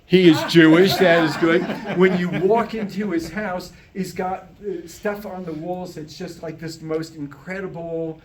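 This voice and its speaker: A man's voice: high-pitched, fairly even intonation, a little slow, few pauses. An older man giving an informal, folksy and humorous introduction on stage, chatting with a live audience. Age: 60s or older. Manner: folksy, joking, talks with the audience. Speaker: master of ceremonies introducing a show. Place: on stage at a podium before a small live audience.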